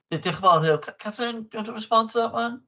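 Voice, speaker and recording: A man's voice: high-pitched, very fast, pushed and bright, muffled recording. Courteous man chairing a meeting. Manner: courteous, bilingual, hesitant.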